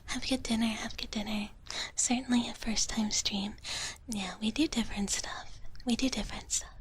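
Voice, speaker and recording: A woman livestreamer whispering breathily and gently into a close microphone as she chats playfully with viewers in ASMR style, in a big-sister dragon persona. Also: a little high, fairly even intonation, moderate pace. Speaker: livestream host. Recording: close-up whisper with clear background noise.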